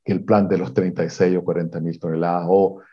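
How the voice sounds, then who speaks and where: A man, a mining exploration executive, giving measured technical answers in an interview, pausing often with fillers and restarts. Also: low voice, little pitch movement, lo-fi sound. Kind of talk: interview answers on a video call.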